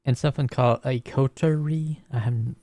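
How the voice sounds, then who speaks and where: A man's voice: mid-range voice, fairly even intonation, brisk, warm tone, no room sound. A man talking casually and hesitantly as a player improvising in a tabletop roleplaying game.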